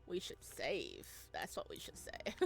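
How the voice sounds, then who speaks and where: A woman's voice: on the low side, huge pitch swings, slow pace, creaky. A woman playing a visual novel, reading it aloud expressively and reacting with playful, casual commentary. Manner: playful, reacts with cooing and chuckles.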